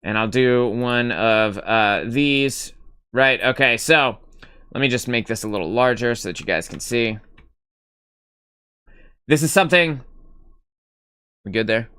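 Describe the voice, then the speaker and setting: Young male stream host, clear bright tone, casual and rambling, swears freely. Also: mid-range voice, a little slow, long pauses. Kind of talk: casual gaming stream chat.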